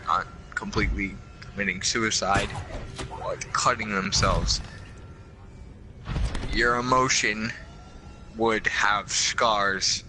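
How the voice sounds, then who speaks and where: A man's voice: on the low side, fairly even intonation, slow pace, pauses often, a crowd in the background, low-quality recording. A teenage boy chatting with friends, joking and sarcastic, often stammering over the start of his sentences. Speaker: friend on call. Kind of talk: casual chat.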